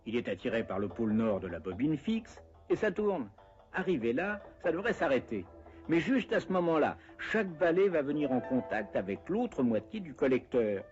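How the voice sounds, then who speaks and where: A man's voice: mid-range voice, a little mellow, muffled recording. A man narrating a scripted educational cartoon in a wry, conversational way, explaining step by step with playful asides.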